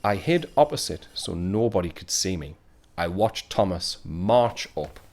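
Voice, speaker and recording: A man's voice: low voice, expressive, unhurried, darkish tone. A man playing a wistful, reflective narrator of an audio play, who watches those he loves. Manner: reflective storytelling.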